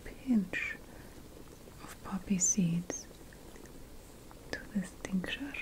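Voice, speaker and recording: Woman as a slow, whispering ancient witch. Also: mid-range voice, rough recording. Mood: hushed, marveling. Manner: hushed, deliberate, lingering over lists. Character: ancient female witch priestess, patient, lofty potion scholar. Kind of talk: whispered ASMR fantasy roleplay.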